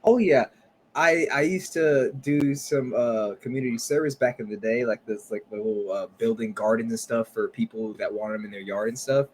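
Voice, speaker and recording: A man's voice: medium pitch, normal speed, a little mellow, a little hiss or hum. A man co-hosting a casual podcast from home, joking often, laughing easily and swearing freely. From United States. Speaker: podcast co-host. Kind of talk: casual chat. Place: home, remote recording. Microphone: home podcast mic.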